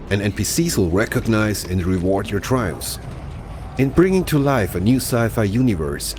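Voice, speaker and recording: A man presenting scripted promotional narration for a video game broadcast, polished and explanatory. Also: fairly low pitch. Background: music.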